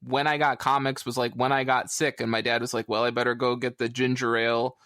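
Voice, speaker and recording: A man in his thirties chatting casually on a podcast as a panelist, telling things in an animated, joking way. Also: medium pitch, brisk, no room sound, clean studio sound. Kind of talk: casual comics podcast chat.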